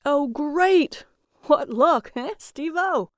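A woman's voice: high voice, lively intonation, very slow, no echo, studio quality, voice pushed up, exaggerated voice. A woman voicing a huge, nervous male agent of death in an audiobook, anxious, needling and pleading with his partner. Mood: mocking.

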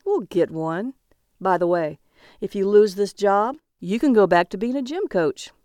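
A woman's voice: medium pitch, expressive, measured pace, a little mellow, dry recording, studio quality, natural voice. A woman voicing a bitter, reckless, balding male agent of death in an audiobook, scornful and hot-tempered. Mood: brash, scornful.

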